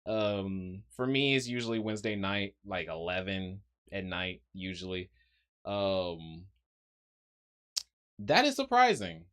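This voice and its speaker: A man's voice: sits low, very animated, slow, fairly bright tone. A man streaming live, thinking aloud in a rambling casual way with frequent ums. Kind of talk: casual livestream commentary.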